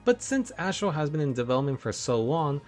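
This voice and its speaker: A man's voice: fairly high pitch, very animated, brisk. A young man giving enthusiastic review commentary as a video game reviewer. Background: background music.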